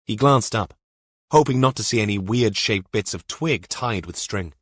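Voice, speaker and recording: A man's voice: on the low side, normal speed, dry recording, clean studio sound. A man narrating a scripted thriller audiobook.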